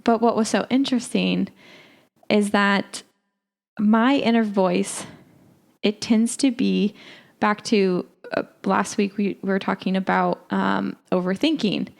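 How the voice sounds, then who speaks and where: Reflective woman with a gravelly voice. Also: medium pitch, fairly even intonation. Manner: reflective, halting, many "like" fillers. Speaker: podcast co-host. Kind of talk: casual chat.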